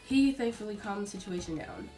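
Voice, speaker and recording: A woman's voice: mid-range voice, moderate pace, over music. A young adult woman telling a personal story casually and dramatically, like a vlogger, acting out what people said. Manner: chatty, dramatic, acts out quotes. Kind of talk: casual animated storytelling to camera.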